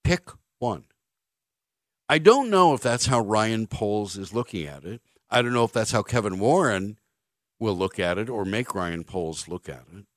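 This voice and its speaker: A man's voice: on the low side, lively intonation, normal speed, no room sound, studio quality. A man in his fifties hosting sports talk radio, making wry, sarcastic jokes and drifting into rambling anecdotes. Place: radio studio. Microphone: studio microphone.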